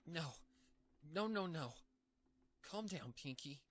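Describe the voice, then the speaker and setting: A man's voice: fairly high pitch, animated, slow pace, fairly bright tone, pitched above the performer's own voice, full character voice. A man voicing a frightened young female cartoon pony, talking anxiously to herself in a storybook reading. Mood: panicked, self-calming. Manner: anxious, breathless self-talk with repetitions.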